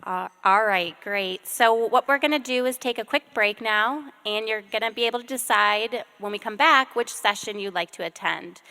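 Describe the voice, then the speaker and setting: A woman moderating a live event, briskly handing out questions and announcing the next session. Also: medium pitch, pushed and bright. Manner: brisk, organizing. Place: conference room with in-person and online audience.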